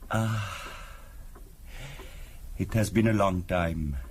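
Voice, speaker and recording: An actor playing a courteous, solemn fortune teller in a 1970s radio drama, speaking formally and deliberately. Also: male voice, low voice. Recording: noisy old radio recording.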